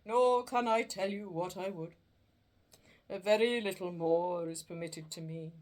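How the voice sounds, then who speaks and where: A woman voicing a doomed, chained male ghost, speaking slowly and solemnly in a storybook reading. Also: natural voice. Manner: slow, booming and drawn out.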